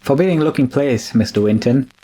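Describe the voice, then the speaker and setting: A man's voice: mid-range voice, fairly quick, heavy background noise, natural voice. A man playing a deferential, uneasy manservant, polite and hesitant, in an old-time radio drama. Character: deferential, uneasy manservant following his master.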